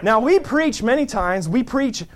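A man preaching a fervent sermon, peppering his lines with amen and hallelujah and building to shouts. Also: very high-pitched, animated, unhurried.

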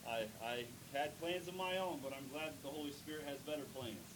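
A man preaching a sermon in church, reading scripture, praying and building to loud emphatic points with drawn-out words. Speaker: pastor. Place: church sanctuary. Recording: noisy with low rumble.

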